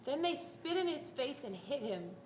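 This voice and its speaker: A woman's voice: high-pitched, big pitch swings, moderate pace. Woman narrator in an echoey room. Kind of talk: scripted dramatic Bible narration. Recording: roomy echo, faint background noise.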